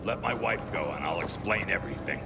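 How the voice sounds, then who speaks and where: A man's voice: deep voice, expressive, brisk, gravelly, loud music behind the voice, full character voice. An actor playing a composed, calculating middle-aged male military commander in a video game. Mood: grave. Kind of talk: dramatic video game acting.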